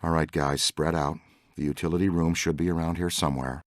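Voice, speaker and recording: A man's voice: rumbling low, steady tone, fairly quick, dry recording, studio quality. A man acting as an eager, talkative ghost-hunting character in a video game, earnest and full of technical jargon. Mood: concerned, focused. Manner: earnest, technical jargon, urgent call-outs.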